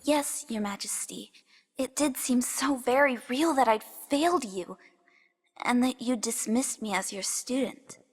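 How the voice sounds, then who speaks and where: A young pony princess, played by a woman, earnest and a little anxious. Her high voice is polite and hesitant, ringing in a long hall reverb for a radio play. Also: unhurried, husky. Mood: subdued. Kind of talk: fan-made radio drama acting.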